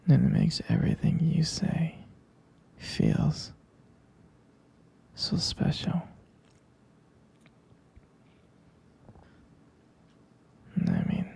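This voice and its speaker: Male actor as soothing, devoted lover for intimate ASMR: breathy whisper, heavy vocal fry, gentle halting delivery. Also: fairly high pitch, exaggerated voice. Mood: tender, admiring. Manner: slow, halting, gentle murmuring with lingering pauses. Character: adoring, tender male romantic partner, soothing and devoted.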